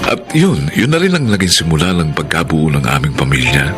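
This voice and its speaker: An actor with a Filipino accent playing a humble, emotional security guard in a radio drama, deferential and given to stammering. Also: male voice, very deep voice, huge pitch swings, fairly quick, over music, exaggerated voice. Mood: reflective narration. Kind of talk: Tagalog radio drama acting.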